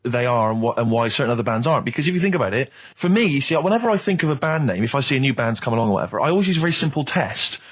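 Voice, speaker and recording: A man's voice: mid-range voice, animated, racing, hardly any pauses. A man chatting casually as a radio co-host, making his point at length in a wry, mock-earnest way. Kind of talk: casual comic radio banter.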